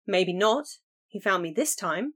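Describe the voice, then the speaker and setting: A woman's voice: medium pitch, a little slow. Woman voicing a curt, guarded young thief. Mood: guarded weary. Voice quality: flat, curt and reluctant. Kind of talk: audiobook character voice.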